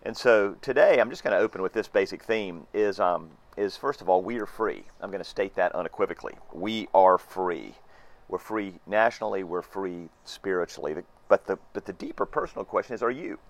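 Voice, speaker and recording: A man, hoarse-voiced, preaching an earnest and reflective sermon with emphatic repetition. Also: low voice, a little fast. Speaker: pastor.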